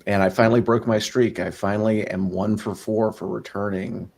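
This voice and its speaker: Panelist: man from Virginia, very deep and gravelly, faint noise behind. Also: level tone, normal speed, a little mellow. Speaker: scout leader, panelist.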